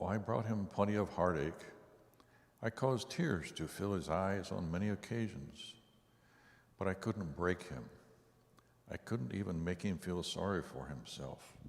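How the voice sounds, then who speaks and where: Man reading as sorrow personified, low creaky voice, bitter and solemn, reverby church hall. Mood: bitter, defeated. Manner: solemn, deliberate. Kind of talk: dramatic church reading, monologue. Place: large church sanctuary.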